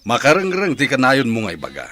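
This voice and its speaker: A man playing a gruff, dismissive rancher father in a radio drama, sounding irritated, with a Filipino accent. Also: medium pitch, huge pitch swings, fast, bright, forceful tone. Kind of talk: Ilocano radio drama acting.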